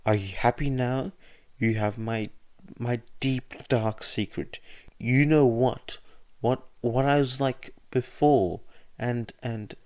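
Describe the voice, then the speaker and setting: A man voicing an anguished, furious teenage boy hero student who shouts bitter, sarcastic lines. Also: medium pitch, steady tone, takes it slowly, no echo, voice pushed up, close to an everyday voice. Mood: shaky.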